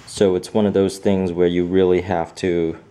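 A man's voice: low-pitched, little pitch movement, moderate pace, warm tone. A man walking through a printed manual off camera, talking in a hesitant way with many fillers and restarts. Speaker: home fixer-upper showing a manual. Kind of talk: casual off-camera walkthrough.

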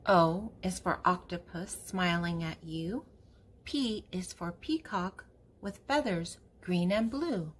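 An older woman reading a children's picture book aloud, speaking in simple, deliberate phrases for young listeners. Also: lowish voice, a little hiss or hum. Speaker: children's book reader and channel host.

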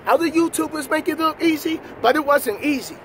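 High-pitched New man, emphatic. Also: fairly quick. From New York City. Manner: emphatic, repetitive, slangy. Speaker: trucker vlogger, host. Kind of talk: motivational casual chat. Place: outdoors beside a parked semi truck. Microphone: camera at arm's length. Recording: loud outdoor wind and vehicle noise.

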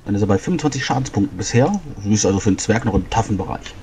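A man's voice: lowish voice, expressive, racing, a little mellow, a little hiss or hum. A man playing a tabletop game, casually explaining rules and correcting the others. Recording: faint music under the voice. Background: faint music.

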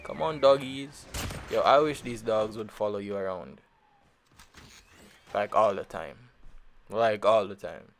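A man's voice: lowish voice, a little slow, vocal fry, pauses often, soft background music. A man with a Jamaican accent giving loose, rambling commentary over his mobile shooter gameplay, full of fillers and repeats. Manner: laid-back and rambling, with many fillers and repeats. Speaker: gaming channel host.

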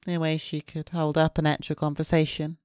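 A woman's voice: low-pitched, monotone, a little fast, smooth, no room sound, clean studio sound. A woman streamer reading visual novel narration aloud, with casual asides. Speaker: VTuber streamer. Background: soft guitar game music.

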